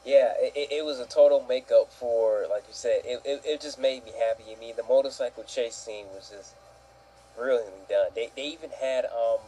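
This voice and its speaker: A man chatting casually as an enthusiastic fan, halting and circling back over his words. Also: mid-range voice, warm tone, soft background music, low-quality recording.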